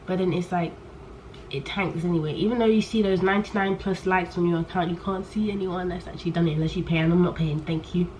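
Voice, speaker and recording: A young woman in her late teens, chatting candidly to camera in a rambling way. She talks loudly on purpose and keeps trailing off and restarting her sentences. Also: lowish voice. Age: young adult. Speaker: vlogger. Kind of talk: casual chat to camera. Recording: poor audio with faint background noise.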